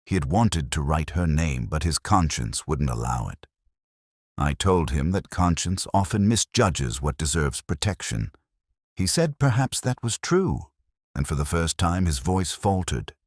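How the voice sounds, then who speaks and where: A middle-aged man reading a scripted first-person detective story in a measured, reflective, literary way. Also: rumbling low, big pitch swings, dry recording, studio quality. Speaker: audiobook-style narrator of a detective story.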